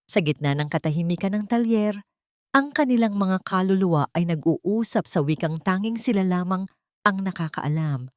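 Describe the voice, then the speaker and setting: A woman narrating a dramatic love story in a measured, emotive storytelling style. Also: medium pitch, animated, no echo, clean studio sound.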